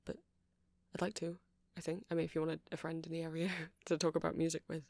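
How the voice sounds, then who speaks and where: A man's voice: a little high, completely flat delivery, fast, creaky, a little mellow, no echo. A man playing a shy, earnest, nerdy vampire in an ASMR roleplay, speaking in a hesitant, rambling, stammering way. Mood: shy, nervous. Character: shy, earnest, nerdy male vampire running a music shop.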